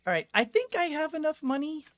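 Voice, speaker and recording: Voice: high voice, sing-song, a little fast, a bit bright, faint music behind. A video game streamer giving casual commentary, thinking aloud in a hesitant, rambling way.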